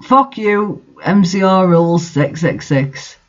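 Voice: medium pitch, expressive, takes it slowly, highs cut off. A host reading fan fiction aloud and adding casual, incredulous commentary.

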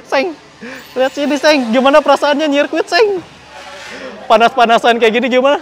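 A young man hosting a casual motorcycle vlog, excitable and full of slang, talking into a handheld mic outdoors at a race circuit. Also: extremely high pitch, moderate pace. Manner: excitable, slangy, lots of exclamations. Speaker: motovlogger host. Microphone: handheld mic, camera close. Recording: noisy outdoor circuit with engines.

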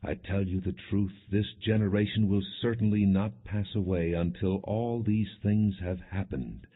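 A man narrating an audiobook of scripture in a solemn, measured, earnest way, with a husky voice. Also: lowish voice. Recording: muffled, phone-like narrow sound.

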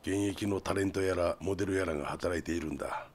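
Low-voiced, worldly underworld insider. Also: male voice, steady tone, quick pace. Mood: knowing, sly. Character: worldly, rough-talking middle-aged underworld insider. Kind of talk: Japanese video game cutscene acting.

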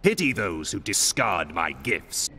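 An actor playing a haughty, menacing fallen god in a fighting game, with pompous, contemptuous, measured speech. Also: male voice, low-pitched, sing-song, pushed and bright, slight background noise, heavily acted. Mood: menacing disdain. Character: haughty, menacing fallen Elder God, male demon king. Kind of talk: theatrical fighting-game villain acting.

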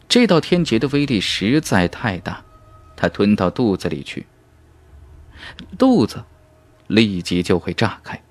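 A man reading a fantasy novel as an audiobook narrator, in a dramatic, measured and emphatic storytelling manner. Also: mid-range voice, no echo.

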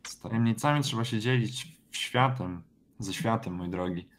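Low-voiced man role-playing over background noise. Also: moderate pace. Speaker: tabletop game player. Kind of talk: in-character improvised tabletop role-play.

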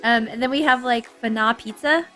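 A woman hosting a gaming channel, chatting playfully with friends as she plays, a little hoarse. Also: on the high side, brisk. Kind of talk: casual gameplay commentary with friends. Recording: faint game music behind. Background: faint game music.

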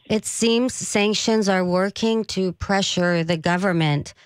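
A woman's voice: medium pitch, slow. A woman hosting a talk radio interview in a small studio, speaking conversationally with loose, searching phrasing. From United States. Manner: conversational with many fillers and restarts. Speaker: radio host. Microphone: studio mic with headphones.

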